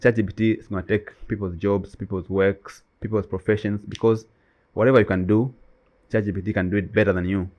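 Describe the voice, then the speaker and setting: A man's voice: lowish voice, fairly even intonation, brisk, dull audio. A man whose first language is Swahili, co-hosting a casual chat and talking emphatically with many "you know"s. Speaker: co-host.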